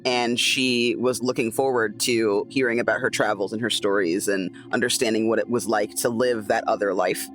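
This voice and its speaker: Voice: mid-range voice, fairly bright tone. A tabletop roleplaying player chatting casually and telling their character's story expressively. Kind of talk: casual chat and in-character narration.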